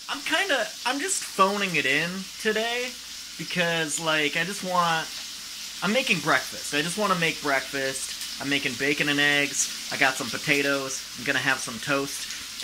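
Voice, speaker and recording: A man's voice: on the high side, background noise. A man in his twenties hosting a casual comedic cooking vlog, talking in a slangy, profane, self-mocking way. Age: 20s. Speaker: host of a home cooking show. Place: small home kitchen indoors. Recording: music playing behind, food frying. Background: music, sizzling pan.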